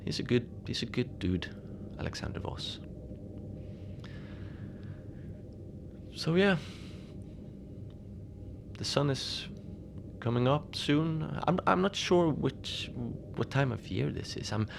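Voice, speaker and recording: A man playing a mild, unbothered middle-aged station manager in a cozy audio drama, confiding in a halting, rambling, understated way. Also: mid-range voice, very animated, creaky, background noise. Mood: musing, mild. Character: mild, unbothered lone Antarctic night-shift station manager. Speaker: podcast fiction narrator, lone facility manager on radio.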